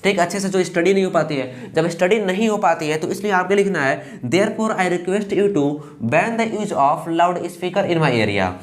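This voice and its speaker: A young man with an eastern Uttar Pradesh Hindi accent teaching a lesson, reading English lines slowly and carefully. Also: high-pitched, expressive. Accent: Hindi speaker from eastern Uttar Pradesh. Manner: slow and careful when reading English lines, fillers "ठीक" between steps. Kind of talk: explaining a lesson.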